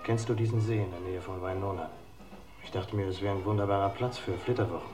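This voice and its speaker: A man's voice: low-pitched, steady tone, fast talker, a little mellow, close to an everyday voice. An actor playing a playful, courting young man in a radio crime drama. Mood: playful, tender. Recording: roomy radio drama recording, music behind.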